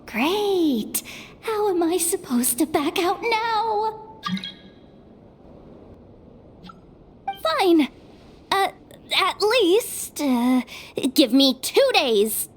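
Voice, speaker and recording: A sardonic young investigator who grumbles at her own bad luck in a high, unhurried voice. A faint hiss hangs behind her lines in this game dub. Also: sing-song, exaggerated voice. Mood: grumbling. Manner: wry, grumbling, slow-spoken. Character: sardonic young woman investigator, self-doubting. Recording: faint background noise.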